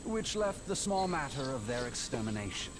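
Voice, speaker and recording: A man acting an urbane, wry gentleman in a video game, with polished and formal phrasing. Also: mid-range voice, sing-song, normal speed, natural voice. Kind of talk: scripted video game dialogue. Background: game music.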